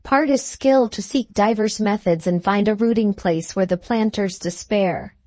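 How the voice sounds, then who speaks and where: A woman's voice: medium pitch, a little slow, warm tone, no echo, lightly acted. A woman voicing a fervent, visionary male scholar in an audiobook, speaking in solemn, lofty oratory. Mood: sad, solemn. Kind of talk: literary audiobook character reading.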